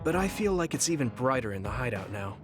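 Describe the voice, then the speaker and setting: A man playing a gentle, friendly, easygoing young hero in an anime game dub. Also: medium pitch, big pitch swings, quick pace, lightly acted. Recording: music clearly behind the voice.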